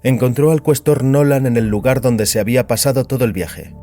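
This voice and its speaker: A man narrating a scripted dramatic story in a solemn, deliberate manner. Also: mid-range voice, warm tone. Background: music.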